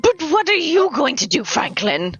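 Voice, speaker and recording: Woman acting as genteel titled British lady, theatrical, slow, animated, bright voice, amateur radio play. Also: high voice, dull audio, soft background music. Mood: grief-stricken. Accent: put-on British. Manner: theatrical, dramatic exclamations.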